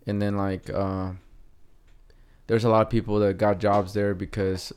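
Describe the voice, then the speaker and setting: Mexican accented guy, lower voice, smooth, lots of ums and restarts. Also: flat delivery, a little slow, a little mellow. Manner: hesitant, many fillers and restarts. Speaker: guest artist. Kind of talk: casual interview.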